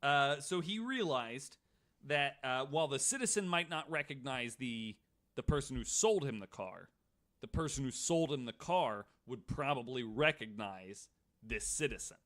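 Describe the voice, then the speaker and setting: Man, American accent, bright forceful voice, podcast storyteller, reading from notes, casual asides. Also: mid-range voice, expressive, unhurried. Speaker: podcast co-host.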